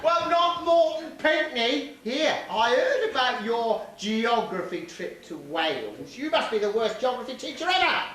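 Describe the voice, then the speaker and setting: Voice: mid-range voice, sing-song, a little slow, few pauses, fairly bright tone. A performer playing a silly, bickering castaway in a stage pantomime, teasing and argumentative. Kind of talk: broad stage pantomime acting. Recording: echoey stage hall, distant mic, faint noise.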